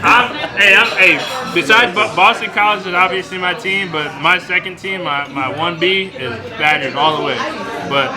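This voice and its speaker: A man's voice: sits high, normal speed. A man from Wisconsin hosting a podcast, bantering and joking with strangers in a crowded dive bar. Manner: joking, teasing, repeats himself. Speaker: podcast host. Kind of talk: casual bar chat. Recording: noisy bar with music and crowd. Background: music and crowd chatter.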